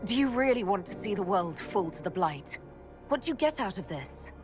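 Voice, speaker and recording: A serious, resolute elven woman leader in a fantasy game voiced by an actress. She has a medium-pitched voice and a firm, probing manner. Music is clearly heard behind her. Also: big pitch swings, moderate pace, lightly acted. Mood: stern. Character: resolute female elf mage hero, stern leader. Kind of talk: dramatic fantasy game voice acting.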